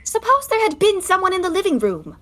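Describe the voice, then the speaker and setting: Actress as a bright, shrill-pitched, scolding prim young lady. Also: big pitch swings, brisk, full character voice. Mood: scandalized. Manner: exasperated, scolding. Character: prim, scandalized, scolding young 1920s woman. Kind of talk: 1920s one-act stage comedy acting.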